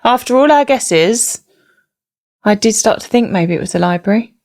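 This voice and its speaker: A woman's voice: mid-range voice, lively intonation, moderate pace, a little mellow, dry recording, clean studio sound. A woman chatting casually as a mother co-hosting children's story time, encouraging, asking questions and explaining words simply. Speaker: co-host, mother of the young reader.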